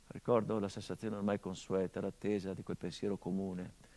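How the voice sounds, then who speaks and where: An actor playing a hardened, grieving middle-aged son, delivering a measured theatrical monologue that drops to hushed tones. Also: male voice, low voice, level tone. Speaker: stage actor.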